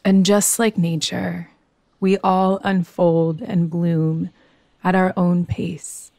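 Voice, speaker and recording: A woman teaching yoga nidra, guiding a meditation in a soothing, gentle way with long, unhurried pauses. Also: on the low side, level tone, vocal fry, dry recording, clean studio sound. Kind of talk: guided meditation.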